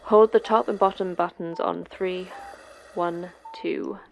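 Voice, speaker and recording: A woman acting as a terse station manager from a sci-fi horror podcast. Her voice sits low with a heavy vocal fry. She instructs like someone used to command, over faint background noise. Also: fairly even intonation, slow pace. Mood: grave, instructive. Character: terse, stern female manager of secret Antarctic facility. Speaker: station manager of secret facility.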